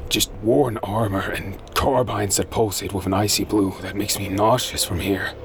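A man's voice: lowish voice, steady tone, normal speed, a little hiss or hum. A man narrating an audio drama in the first person with terse, tense intensity, over music.

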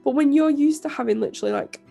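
A young woman co-hosting a podcast, croaky and nasal from illness, rambling casually with lots of fillers and restarts. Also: high-pitched, fast, dark tone, soft background music. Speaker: podcast co-host and author. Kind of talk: casual chat.

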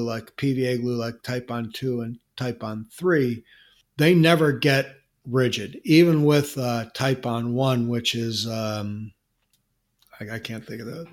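A man co-hosting a podcast, answering a listener question in a relaxed, explanatory way with frequent restarts. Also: medium pitch. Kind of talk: casual podcast chat.

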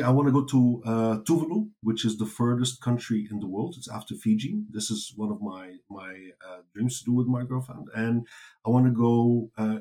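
A man's voice: medium pitch, a little mellow. A man whose first language is Dutch, answering interview questions in casual talk, with many fillers and restarts. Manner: rambling with many fillers and restarts, laughs easily. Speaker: interview guest.

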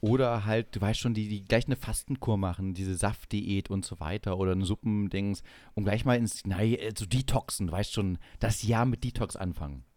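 Low-voiced man chatting in a dry recording. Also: normal speed, darkish tone, no room sound. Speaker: podcast co-host. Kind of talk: casual joking podcast chat.

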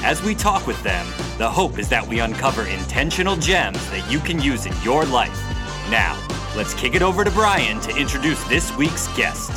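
A man reading the scripted intro of a podcast in a lively announcer style. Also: mid-range voice, normal speed. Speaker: show announcer. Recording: clear music bed behind.

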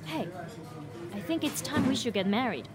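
A woman's voice: fairly high pitch, sing-song, moderate pace, over loud music. An actress playing an anxious, blunt young immigrant woman from Japan in a naturalistic comedy audio drama. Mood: eager.

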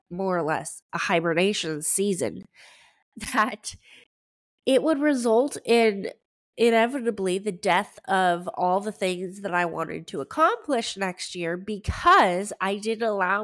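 A woman's voice: mid-range voice, a bit bright. A woman in her thirties hosting a solo podcast, chatty and rambling, her voice stuffy from a head cold. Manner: chatty and rambling, with many fillers and restarts. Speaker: podcast host. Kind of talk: casual solo podcast talk.

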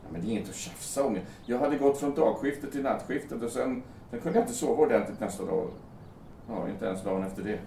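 A man's voice: mid-range voice, animated, creaky, dark tone. Roomy, distant actor as a bitter grieving man. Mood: weary, self-blaming. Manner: agitated, sarcastic, swearing, halting. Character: grieving, bitter young bridegroom blaming himself for fatal crash. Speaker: actor in an audio drama. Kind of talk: naturalistic radio drama dialogue. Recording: roomy, distant mic, faint background noise.

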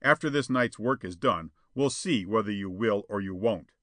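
A man voicing a stern, bitter, domineering father in a storybook, with a gruff, growling, commanding delivery. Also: mid-range voice, normal speed, pushed and bright, dry recording, close to an everyday voice. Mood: angry.

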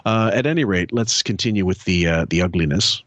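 A man's voice: low voice, a little fast, muffled recording, heavy background noise. A man hosting a podcast in casual chat, rambling and swearing, often stopping to restart his sentences. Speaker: podcast host.

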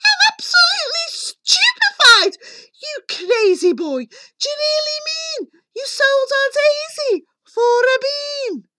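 Screechy bossy storybook mum, always exasperated n scolding, super high and sharp. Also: female voice, very animated, slow pace, no room sound, exaggerated voice. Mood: furious. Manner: shrieking, exasperated, exclamatory. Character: shrill scolding working-class mother, furious and greedy.

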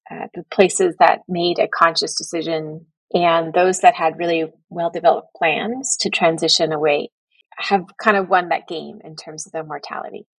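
A woman gives considered expert answers in an interview, choosing her words carefully. Her voice is on the low side and husky with some creak, recorded dry and close. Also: no echo, poor audio. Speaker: public health professor, interview guest.

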